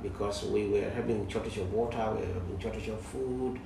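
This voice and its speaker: A man in his forties from South Sudan, a guest in an interview, speaking slowly and haltingly with many pauses. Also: lowish voice, fairly even intonation, creaky. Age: 40s. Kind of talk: interview conversation. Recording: distant mic in echoey room, noisy.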